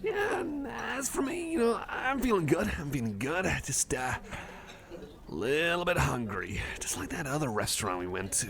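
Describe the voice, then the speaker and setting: A man's voice: mid-range voice, gravelly. A man voicing a shy, nerdy, eager cyborg card collector in an ASMR roleplay, chatting casually in a hesitant, rambling, apologetic way. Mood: friendly, sheepish. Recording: cafe crowd murmur behind the voice.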